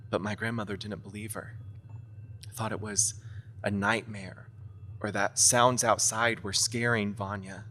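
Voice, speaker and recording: An anxious young woman of a horror radio play, acted by a man, speaks in a bright, tense voice. She is sarcastic, defensive and intense, over faintly noisy ambience. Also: fairly low pitch, flat delivery, measured pace. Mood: hushed, grave storytelling. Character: anxious, irritable young woman haunted by grandmother's witch tale. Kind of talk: audio drama acting, horror storytelling.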